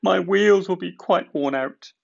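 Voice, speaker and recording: A cheeky little train engine from a children's storybook. The voice is a squeaky falsetto pitched far up, excitable and exclamatory, on a slightly dull recording. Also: male voice, unhurried, gravelly, heavily acted. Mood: sad, worn out.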